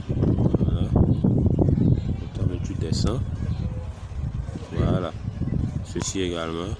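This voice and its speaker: Slow, deep-voiced man with a cold. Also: very noisy. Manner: slow, hesitant, talks through clicks step by step. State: has a cold. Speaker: software tutorial instructor. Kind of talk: screen-recorded software tutorial.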